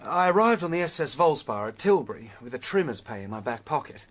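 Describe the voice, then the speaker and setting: A man's voice: mid-range voice, sing-song, brisk, fairly bright tone. An actor playing a penniless, determined young Australian man who ruefully recounts his adventure in a radio drama. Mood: rueful, animated. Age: young adult. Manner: vivid storytelling, stammers when flustered. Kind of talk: radio drama acting and first-person narration.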